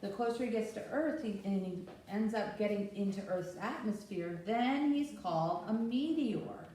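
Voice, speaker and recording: A woman's voice: mid-range voice, moderate pace, dark tone. A woman leading a children's story time, explaining things simply and giving instructions directly to young children. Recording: echoey room, distant mic, faint noise.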